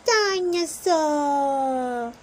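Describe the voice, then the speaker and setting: Woman as a tiny boy piglet, storybook toddler, high shouts, stretched-out slow words, overjoyed, faint noise. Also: lively intonation, exaggerated voice. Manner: drawn-out single-word shouts. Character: overjoyed little boy pig, small toddler.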